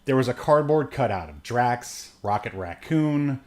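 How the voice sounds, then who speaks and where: A man co-hosting a podcast, talking casually and haltingly about entertainment news, often chuckling mid-sentence. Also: mid-range voice, expressive. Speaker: podcast co-host. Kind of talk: casual chat, movie news commentary.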